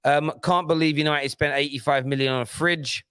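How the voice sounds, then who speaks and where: A man's voice: on the high side, fairly even intonation, a little fast, bright, forceful tone, smooth, no echo, studio quality. A man hosting a football fan livestream, chatting in a laid-back, chummy way and reading viewer messages aloud. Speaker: host. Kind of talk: casual chat, livestream reading super chats.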